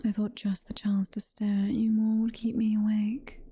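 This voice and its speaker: A woman playing a doting, possessive demon mommy girlfriend in an ASMR roleplay, whispering softly and tenderly with a British accent. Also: mid-range voice, little pitch movement, no room sound. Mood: sleepy, affectionate. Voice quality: breathy, soft-spoken whisper. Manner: slow, tender, coaxing. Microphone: close ASMR microphone.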